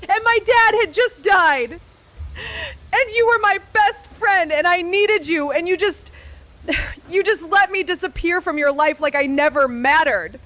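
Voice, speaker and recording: A woman's voice: very high-pitched, measured pace, fairly bright tone. A woman playing a hurt, bitter young woman in an audio drama, with a blunt, accusing, raw delivery. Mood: wounded, angry. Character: Amy, wounded, bitter, restless young woman, abandoned friend. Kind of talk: naturalistic audio drama acting, some first-person noir narration.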